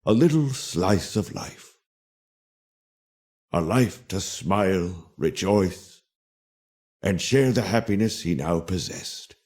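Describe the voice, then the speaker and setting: Slow, deep-voiced fairy-tale storyteller. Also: male voice, lively intonation, darkish tone. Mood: solemn, tender. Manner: measured, solemn, tender storytelling. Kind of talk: scripted fairy-tale narration.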